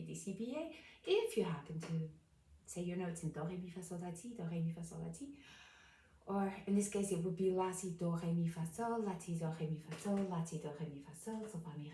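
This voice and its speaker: A woman with a low voice giving a casual piano lesson, explaining calmly while playing notes, recorded from a distance in a room with a piano. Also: reverberant. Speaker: piano teacher.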